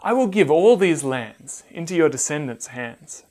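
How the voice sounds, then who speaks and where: A man voicing God as a solemn, commanding deity in a children's Bible story, speaking in measured, authoritative phrases. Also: fairly high pitch, big pitch swings, a little mellow. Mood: solemn, assuring.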